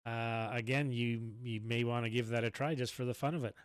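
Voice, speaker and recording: A man's voice: mid-range voice, fairly even intonation, moderate pace, smooth, dry recording, studio quality. A man chatting casually as an amateur radio operator, in the manner of someone hosting an on-air contact. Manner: spells call signs in phonetic alphabet. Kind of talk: casual ham radio chat.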